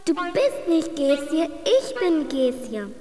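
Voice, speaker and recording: A woman's voice: high voice, big pitch swings, extremely slow, warm tone, exaggerated voice. A woman playing a taunting, boastful young girl in a dreamlike children's radio play. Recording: long echoing reverb over faint music.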